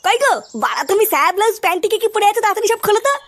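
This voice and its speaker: A woman voicing a crude, excitable village husband in a comic cartoon, pushy and blustering. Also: very high voice, rapid-fire, soft background music. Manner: blustering, swearing.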